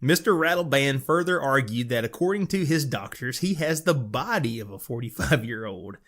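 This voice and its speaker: A man's voice: medium pitch, lively intonation, moderate pace, fairly bright tone. A middle-aged man, co-host of a casual podcast, reading odd news stories aloud and chuckling. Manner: chuckling, amused storyteller.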